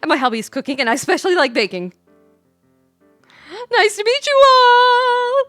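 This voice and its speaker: Woman putting on an eager, high, bubbly young character. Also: sing-song, normal speed, a bit bright, no echo, soft background music, heavily acted. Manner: bubbly, earnest. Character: eager, guileless young adult game character oversharing details.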